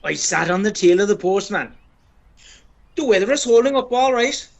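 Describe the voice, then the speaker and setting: An ageing Irish sporting has-been acted by a woman in a radio play, full of himself and forever wheedling. The voice is husky and very animated, leaping about in pitch as it chats and brags. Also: normal speed, lo-fi sound. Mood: wheedling, chatty. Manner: pompous, chatty, persistent. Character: boastful, wheedling ageing male Irish pitch and putt champion. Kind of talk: radio play monologue and dialogue.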